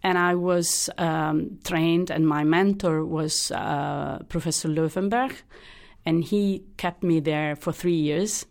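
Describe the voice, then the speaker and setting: A woman in her sixties from Italy with an Italian accent, a hematologist answering interview questions in a halting, thoughtful way. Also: sits low, steady tone, gravelly. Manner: thoughtful, halting, many restarts and fillers. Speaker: hematologist guest. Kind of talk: interview, explaining her career.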